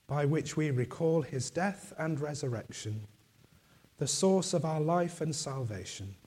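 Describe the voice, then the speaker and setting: A man leading communion in a church, reciting liturgical prayers in a slow, solemn, measured way, his voice going. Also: fairly high pitch, a little mellow, slightly rough. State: losing his voice.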